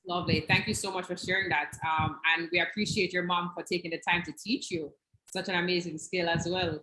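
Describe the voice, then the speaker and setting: Bright-voiced interviewer in an echoey room. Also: medium pitch, flat delivery, brisk, a little hiss or hum. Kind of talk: online meetup interview.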